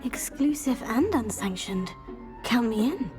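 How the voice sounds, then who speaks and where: A woman's voice: on the high side, animated, measured pace. An actress playing a polite, brave and eager teenage witch student in a video game, composed and inquisitive. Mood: agreeable. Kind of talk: video game cutscene acting. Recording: background music under the voice.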